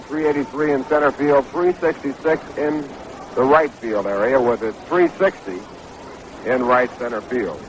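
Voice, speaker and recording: 1960s radio baseball announcer, man, noisy recording. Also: a little high. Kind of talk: 1960s radio sports commentary. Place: ballpark broadcast booth.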